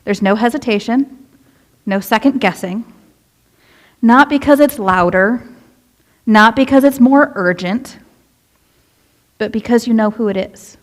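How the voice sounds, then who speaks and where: A young woman, a youth minister, preaching a casual, conversational sermon in a church and asking the congregation questions. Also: on the high side. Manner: chatty, relatable, asks the congregation questions. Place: church sanctuary.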